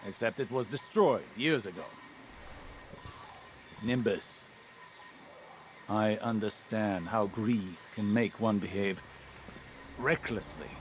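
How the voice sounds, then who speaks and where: A man voicing a learned, cautious older mentor in a video game, stern but caring, speaking in a measured, formal way. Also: on the low side, background noise. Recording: music playing behind.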